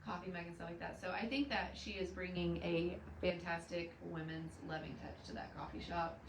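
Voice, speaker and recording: A soft-voiced woman with an Oklahoma accent and a scratchy throat talks with upbeat enthusiasm on a local news show. Her pitch is on the low side, and she sounds a little off-mic, with roomy echo and a faint hum. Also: fairly even intonation, normal speed, distant mic. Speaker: city representative co-host. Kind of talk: casual live news chat.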